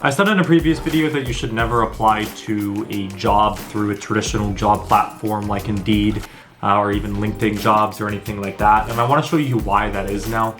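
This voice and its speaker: A young American man from the Bay Area talks straight to his viewers in a relaxed, chatty vlog manner. His voice sits a little low. Soft music plays quietly underneath. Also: a little fast, reverberant. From United States (Bay Area). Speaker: vlogger and startup founder. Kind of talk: casual vlog talk to camera.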